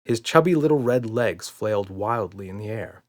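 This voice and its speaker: A man's voice: fairly low pitch, expressive, normal speed. A man reading a children's audiobook as narrator, in scripted storytelling style.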